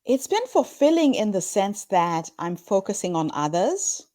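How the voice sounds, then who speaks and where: A woman's voice: medium pitch, sing-song, measured pace, dark tone, no echo, clean studio sound. A woman in her fifties from Zimbabwe, a guest in an interview, telling her story in an earnest, upbeat way. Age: 50s. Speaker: guest, founder of a women's story platform. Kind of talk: conversational interview answers.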